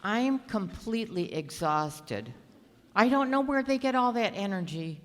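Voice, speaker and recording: Woman doing a calm sweet sitcom mom, soothing, echoey hall. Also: medium pitch, normal speed, close to an everyday voice. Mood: tired. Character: gentle, patient middle-aged mother.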